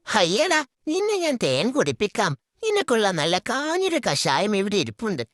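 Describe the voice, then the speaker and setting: A woman's voice: medium pitch, wildly swooping pitch, moderate pace, dry recording, clean studio sound. A woman voicing a sly, lazy male fox who poses as a healer in a children's cartoon, scheming and bossy. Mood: gleeful scheming. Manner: scheming, bossy, mock-authoritative. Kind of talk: children's cartoon story character.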